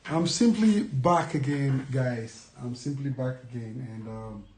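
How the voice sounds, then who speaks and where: A man's voice: medium pitch, unhurried, a little mellow. A young man from Ghana with a Ghanaian accent, chatting casually to camera as a vlogger, rambling and repeating himself with many fillers. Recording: echoey room.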